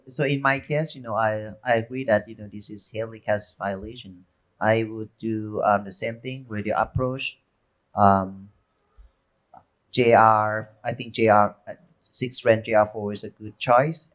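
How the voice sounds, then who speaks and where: A man with a Thai accent gives his opinion as a panel cardiologist. His voice is fairly low and he hesitates, repeating words, with a muffled sound. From Thailand. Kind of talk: conference panel discussion. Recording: dull, muffled conference audio.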